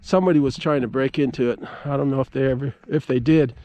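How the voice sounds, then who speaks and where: A middle-aged man giving a casual, halting, folksy account as he vlogs outdoors at a park. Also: mid-range voice, fairly even intonation, dark tone. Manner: halting, folksy, stop-and-start storytelling. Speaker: amateur radio operator vlogging. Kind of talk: casual rambling outdoor vlog. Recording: clear ambient noise outdoors. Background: ambient outdoor noise.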